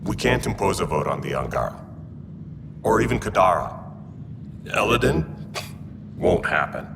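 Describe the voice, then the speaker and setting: A skeptical, gruff male official from a video game. His very deep, clipped voice sounds distant in a reverberant hall with noise behind. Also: very animated, normal speed, full character voice. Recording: noisy, distant, echoing hall.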